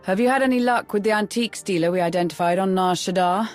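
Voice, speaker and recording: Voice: mid-range voice, quick pace, faint music behind, close to an everyday voice. An actor playing a composed, businesslike colleague giving a dry, formal briefing in a video game. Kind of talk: video game cutscene acting.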